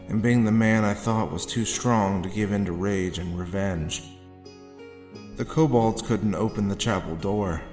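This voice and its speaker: A low, brooding male drifter acted by a man, haunted and sardonic, telling a dark horror story in the first person. Faint music runs behind the voice. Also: natural voice. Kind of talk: first-person dramatic narration with dialogue. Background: background music.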